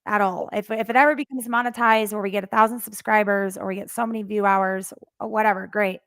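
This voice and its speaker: Gravelly-voiced woman hosting a podcast. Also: medium pitch, steady tone, fast talker, no echo, clean studio sound. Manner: warmly encouraging, talkative, laughs easily. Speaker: podcast host. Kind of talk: casual podcast interview.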